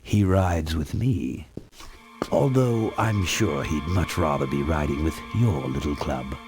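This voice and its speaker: A man's voice: a bass voice, expressive, slow pace, exaggerated voice. A man playing a haughty, scheming corporate right-hand man in a video game, speaking formally and with condescension. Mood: oily, persuasive. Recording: music playing behind the voice.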